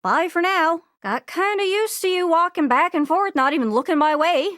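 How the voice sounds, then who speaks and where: An actor, a woman, playing an excitable, chatty little talking globe in a video game, gushing and impatient with folksy turns of phrase. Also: very high-pitched, moderate pace, dry recording, studio quality. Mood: hurt, sulky. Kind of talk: animated video game character acting.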